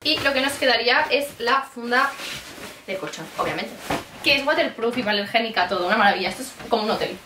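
A woman's voice: a little high, expressive, fast, background noise. A woman in her twenties with a Castilian Spanish accent, chatting casually and expressively to camera as a vlogger. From Spain. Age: 20s. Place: parked car and a bedroom.

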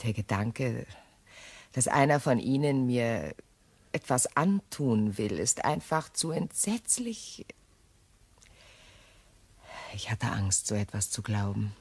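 An anxious widow in a crime radio play. Her voice sits low, and she speaks in a polite, restrained way, composed and measured despite her worry. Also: animated, a little mellow, husky, full character voice. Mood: shaken, subdued. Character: anxious, composed recently widowed English shop owner.

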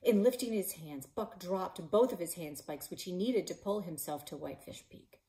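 A woman in her forties, a librarian and author, reading her children's novel aloud in a living room, voicing the characters with shouts and whispers. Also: lowish voice, moderate pace, rough recording. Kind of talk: children's book read-aloud. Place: living room in front of a stone fireplace.

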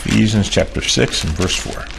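A man's voice: fairly quick, vocal fry, noisy background. A man in his forties preaching a sermon in a small room, emphatic and repeating key phrases for weight. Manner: emphatic, repeats key phrases, pitch rises and falls sharply.

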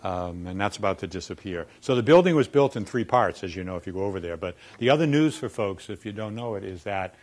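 An older man with a Boston accent, his voice sitting a little low for a man. He tells dry, anecdotal stories to a room of colleagues and delivers his punchlines with a knowing wink. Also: lively intonation, fast, few pauses, darkish tone. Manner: wry storyteller, quoting people with punchlines. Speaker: former dean giving a talk. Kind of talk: anecdotal lunchtime talk. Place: dark lecture room in front of chalkboards.

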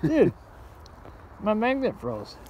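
A chatty man in his forties talks to his viewers while filming on a frozen lake, and his voice sits fairly high and swings up and down a lot. Clear wind and outdoor noise run under his phone-camera audio. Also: huge pitch swings, takes it slowly, mellow, highs cut off. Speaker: magnet fishing vlogger. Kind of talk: casual vlog chat. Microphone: phone camera held by the speaker.

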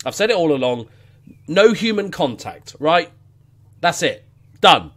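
Let's-play host, 30s, stuffy with a cold, a bit high, sweary and rambling at a home desk mic. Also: male voice, very animated, unhurried, fairly bright tone. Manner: sweary, self-mocking, rambling. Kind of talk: casual gameplay commentary. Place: living room at home. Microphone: studio mic on stand, headphones.

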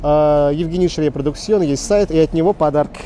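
Mellow, joking man hosting on the street. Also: fairly high pitch, fairly quick, slight background noise. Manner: joking, mock-serious, rambling, crude slang. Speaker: street quiz show host. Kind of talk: casual comic street interview. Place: outdoors on a city street. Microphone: handheld reporter microphone.

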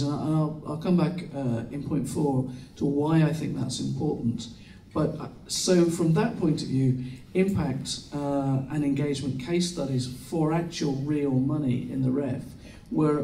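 Gravelly-voiced British man on a panel. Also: medium pitch, dark tone, echoey room, recorded from a distance, a little hiss or hum, low-quality recording. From United Kingdom. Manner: hesitant, with many restarts and fillers. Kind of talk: panel discussion remarks. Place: seminar room with audience. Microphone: handheld mic, far camera.

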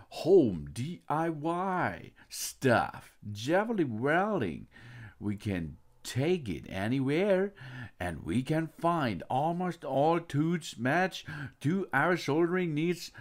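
A man narrating an unboxing and walkthrough of a tool kit, with non-native phrasing and hesitant fillers. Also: mid-range voice. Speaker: product reviewer.

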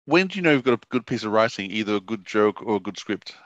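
A man's voice: on the low side, animated, fast, a bit bright, no room sound. Middle-aged man, dull dry call audio. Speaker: podcast host, interviewer. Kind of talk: casual interview questions. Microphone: video call.

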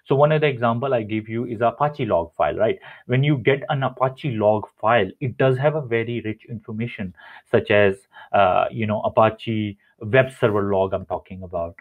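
A man's voice: fairly low pitch, normal speed. A man presenting a technical webinar over slides, explaining step by step with many fillers and restarts. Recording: tinny phone-like audio.

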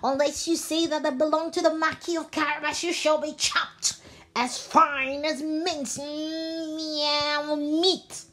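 A woman's voice: sits high, little pitch movement, slow, bright, forceful tone, pitched above the performer's own voice, heavily acted. A woman doing Puss in Boots, a meowing sly cat. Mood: fierce. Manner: meowing between words, fierce threats. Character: sly talking male cat, bold and scheming.

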